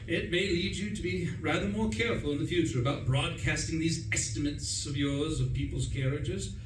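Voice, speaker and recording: A man playing a pompous, excitable young upper-class gentleman, indignant and self-satisfied, in a radio play. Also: a little high, brisk, natural voice. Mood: smug, triumphant. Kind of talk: stage radio play acting with first-person narration. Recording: roomy, distant stage recording, clear noise.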